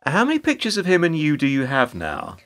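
Wry, lively-pitched British narrator. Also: male voice, fairly quick, heavily acted. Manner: dry, hesitant, sardonic. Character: wry, weary older male narrator, reluctant bystander. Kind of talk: radio play narration and dialogue.

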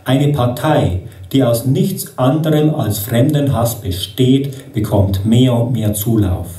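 A man's voice narrates in a grave, deliberate tone, unhurried and dark-timbred. It carries through a theatre hall, boomy and distant, as if caught by a camera far back in the seats. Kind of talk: solemn scripted narration over a projected film. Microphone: audience camera far from the stage.

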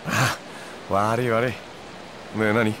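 An actor playing a laid-back, streetwise moneylender in a Japanese video game, talking in a casual, nonchalant way. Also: male voice, lowish voice, level tone, slow, background noise. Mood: sheepish, carefree. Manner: casual, nonchalant, rough-edged.

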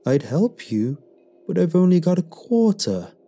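A man's voice: sits high, big pitch swings, warm tone, soft background music, voice pushed up, exaggerated voice. A man voicing a talking moon that speaks in someone's head, smug and fond of bad puns. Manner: smug, drawn-out joking delivery.

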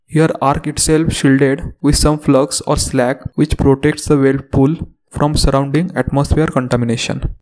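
A man narrating a scripted technical lesson as the instructor, in a steady reading delivery. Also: on the high side, low-quality recording.

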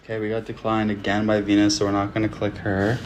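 A man's voice: on the low side, completely flat delivery, fast. Young man, chatty livestream talk, phone mic, small indoor room, faint hiss. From Canada. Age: young adult. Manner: chatty, self-talking, upbeat with viewers. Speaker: livestream host. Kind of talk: casual livestream chat. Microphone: phone at arm's length.

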